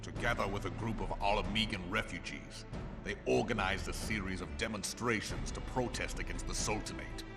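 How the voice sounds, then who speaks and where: A man voicing a stern, authoritative military commander in a fantasy video game, with a formal, grave, deliberate delivery. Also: lowish voice, over music, natural voice. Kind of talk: scripted video game cutscene acting.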